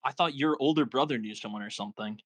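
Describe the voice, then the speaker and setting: A man's voice: mid-range voice, fast talker, fairly bright tone, no room sound, natural voice. Doubtful young male slacker, read by a man for a comedy film. Mid-range voice, hesitant, everyday slang. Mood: exasperated.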